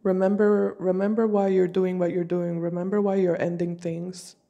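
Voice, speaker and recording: A woman with a low, mellow voice lays out tarot cards and talks her viewers through them. She is fighting a cold, and she speaks in slow, deliberate, intuitive phrases from a table at home. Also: level tone, creaky, dull audio. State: has a cold. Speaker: tarot reader.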